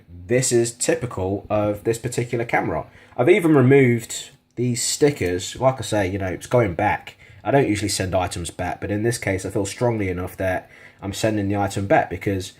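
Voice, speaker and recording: A man's voice: medium pitch, darkish tone. A British man giving an informal, matter-of-fact product review to camera, speaking in a plain-spoken, complaining way. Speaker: product reviewer on a video channel.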